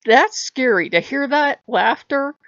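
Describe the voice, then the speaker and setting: A woman with a high voice, talking casually to camera in a chatty, rambling way with frequent laughs. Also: lively intonation, unhurried, fairly bright tone, no room sound, muffled recording. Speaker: spiritual vlogger.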